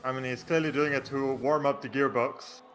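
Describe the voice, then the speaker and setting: A Danish-accented man co-hosts a sim-racing stream, slipping dry teasing jokes between lap calls. His tone is bright and clear. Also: mid-range voice, steady tone, normal speed, low-quality recording. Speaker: co-commentator. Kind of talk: casual live sim-racing commentary.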